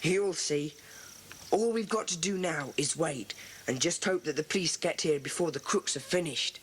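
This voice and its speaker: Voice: mid-range voice, moderate pace, natural voice. A performer playing a confident, decisive schoolboy who leads a club of child detectives. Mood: hushed, expectant. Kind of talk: children's audiobook dramatisation. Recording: faintly noisy old cassette recording.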